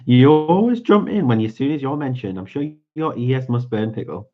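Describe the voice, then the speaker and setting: A man's voice: medium pitch, animated, a little slow, lo-fi sound. A man with a stuffy nose hosting a livestream, chatting casually and giggling with viewers as he colors. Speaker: livestream host.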